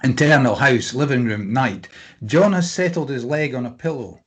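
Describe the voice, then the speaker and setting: A man's voice: mid-range voice, normal speed, breathy edge. A man reading out screenplay stage directions as scripted narration for a drama table read.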